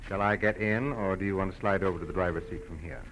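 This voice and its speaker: A man's voice: low-pitched, fast talker, fairly bright tone, slight background noise, poor audio. An actor playing a dapper, mock-polite male casino crook in a 1940s radio crime drama, on an old recording. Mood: smug, polite. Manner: mock-polite, slick, guarded. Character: smooth, dapper small-time male casino racketeer.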